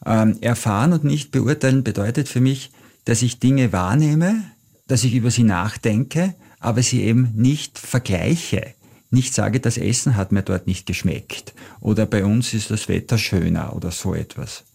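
A middle-aged man speaking German with an Austrian accent. His voice is deep and has a rough, gravelly edge with a mellow, dark tone. He answers interview questions reflectively, weaving in travel anecdotes. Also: moderate pace. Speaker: travel author, guest.

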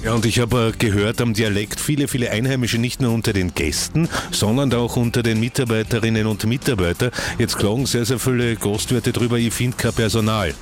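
A man's voice: mid-range voice, fairly quick, hardly any pauses. Man, Austrian accent, radio presenter, chatty, faint music behind. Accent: Austrian German. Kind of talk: live radio interview and hosting.

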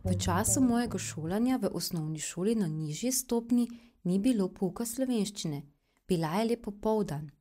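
A woman reads a translated voice-over in an even, measured way. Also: mid-range voice. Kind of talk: read voice-over narration.

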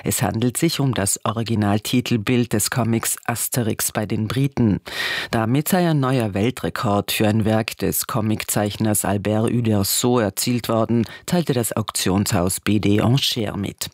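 A man's voice: on the low side, moderate pace, dry recording. Austrian male news reader, dry studio sound. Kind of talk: scripted news bulletin.

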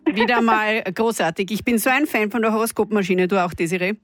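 A woman's voice: medium pitch, fairly even intonation, brisk, dry recording. A woman hosting a live radio phone-in, upbeat and encouraging. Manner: enthusiastic, encouraging. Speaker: radio host.

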